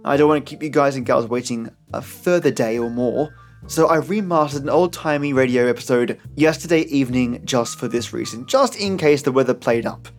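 A man's voice: mid-range voice, a little fast. A man hosting a podcast, reading a scripted introduction in a theatrical, playful manner. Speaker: podcast host. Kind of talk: scripted dramatic introduction and listener thanks. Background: music.

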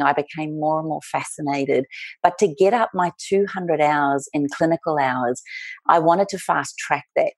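A middle-aged woman with a New Zealand accent, a guest telling her story in an interview with emphatic warmth. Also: deep voice, dry recording. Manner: warm, emphatic storyteller, often saying "look". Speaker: guest, aromatherapist.

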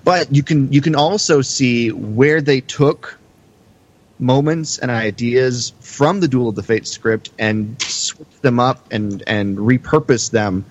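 A man in a casual critical discussion on a podcast, talking in a measured, thoughtful way with some hesitancy. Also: medium pitch, highs cut off. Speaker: podcast panelist.

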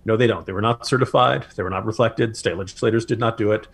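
A man's voice: lowish voice, quick pace, vocal fry, husky. An American man co-hosting a political podcast, giving opinionated commentary in an emphatic, sardonic way with mocking asides.

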